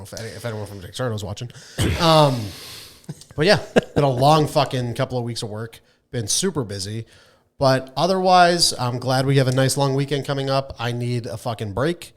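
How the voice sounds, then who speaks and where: A man co-hosting a podcast, chatting casually and bluntly with plenty of swearing. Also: medium pitch. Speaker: podcast co-host. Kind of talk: casual chat.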